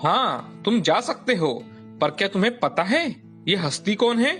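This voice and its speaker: A man playing an authoritative hospital doctor in a storybook moral tale, with a brisk, businesslike manner. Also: high voice, very animated, a bit bright, faint music behind, exaggerated voice. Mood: approving, curious. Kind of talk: dramatized Hindi moral story.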